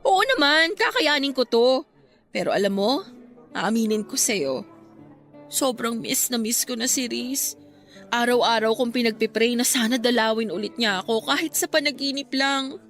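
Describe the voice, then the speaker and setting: A young Filipino woman in a radio love drama. Her voice sits fairly high and carries gratitude with a wistful, earnest edge. Soft music plays beneath her. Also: moderate pace. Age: young adult. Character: grateful, wistful young woman, newly graduated, missing her late love.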